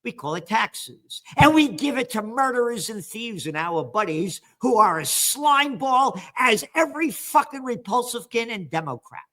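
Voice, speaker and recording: An older man hosting a news commentary, reading headlines and ranting with angry, sarcastic, profane remarks that swing from low mutters to shouts. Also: very animated, normal speed, few pauses. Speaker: trends commentator and host.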